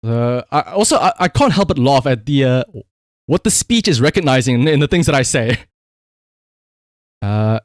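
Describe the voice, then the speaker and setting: A man doing casual livestream gaming commentary in an excitable, emphatic way, prone to shouting and swearing. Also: mid-range voice, normal speed, long pauses, dry recording, clean studio sound. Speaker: live streamer.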